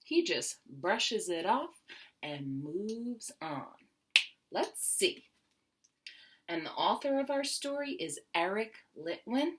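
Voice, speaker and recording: A woman reading a children's picture book aloud expressively, stretching key words and dropping into quieter asides with questions for young listeners. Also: medium pitch, sing-song, takes it slowly, slight background noise.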